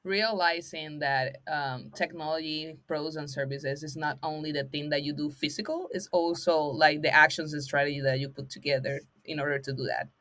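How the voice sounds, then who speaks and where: A woman's voice: low voice, fairly even intonation, moderate pace, hardly any pauses, fairly bright tone, dry recording. Enthusiastic woman host, dry sound. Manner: enthusiastic, laughs often, non-native phrasing. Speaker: podcast host. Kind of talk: casual interview hosting.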